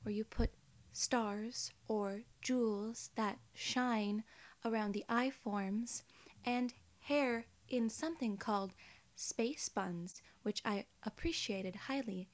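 A soft-spoken woman in her twenties doing an ASMR role-play as a polite alien gatekeeper, with measured, formal phrasing, close to the microphone. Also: mid-range voice. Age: 20s. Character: young, polite, friendly female alien gatekeeper. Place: small indoor room.

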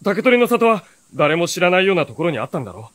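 Sharp earnest teen boy hero for radio drama, lightish high voice, animated. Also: fast, dry recording. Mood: puzzled. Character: earnest, sharp-witted teenage boy, the story's narrating hero. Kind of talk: radio drama acting with first-person narration.

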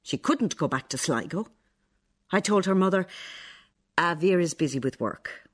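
An actress with an Irish accent playing a stern, bitter, defensive older nun in a radio play, sounding accusing and sanctimonious. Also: female voice, lowish voice, lively intonation, moderate pace. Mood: indignant. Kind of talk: radio play acting, monologue and dialogue.

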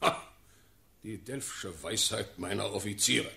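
An actor playing an imperious, quick-tempered German ruler in a classical verse radio play, with declamatory, theatrical speech. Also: male voice, lowish voice, creaky, exaggerated voice. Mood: scornful. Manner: declamatory, theatrical, sharp swings in force. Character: imperious, quick-tempered German prince-elector, commanding and sardonic.

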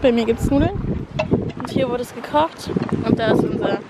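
A woman's voice: on the high side, moderate pace, heavy background noise. A young woman chatting casually into her phone camera on a road trip, full of fillers and English words, outdoors and in a car. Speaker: vlogger. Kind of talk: casual travel vlog. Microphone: phone at arm's length.

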